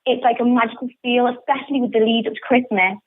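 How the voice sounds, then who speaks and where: A woman's voice: fairly high pitch, fairly even intonation, fast. A young woman with a northern English accent, chatting enthusiastically in a radio interview over a phone line. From northern England. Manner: enthusiastic, upbeat. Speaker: interviewed pantomime actress. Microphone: telephone. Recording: muffled, tinny phone line.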